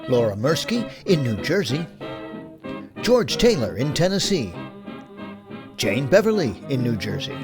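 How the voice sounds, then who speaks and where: A man presenting an audio drama's closing credits as a scripted outro, speaking to listeners directly. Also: on the high side. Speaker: presenter reading end credits.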